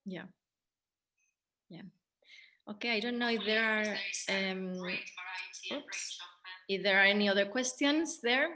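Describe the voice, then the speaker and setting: A woman hosting an unscripted panel discussion, speaking in a hesitant, halting way with frequent pauses. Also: medium pitch, sharp tone. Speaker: host of a post-show panel, theatre director.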